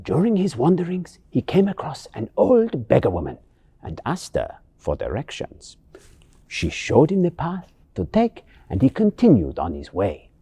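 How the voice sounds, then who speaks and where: A man tells a scripted fairy tale with pantomime flair. His pitch swings expressively from phrase to phrase, and his voice is mellow and a little husky. The recording is dry and close. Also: measured pace, no room sound. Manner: theatrical, expressive. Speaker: storyteller. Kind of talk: scripted bedtime story narration, pantomime flavour.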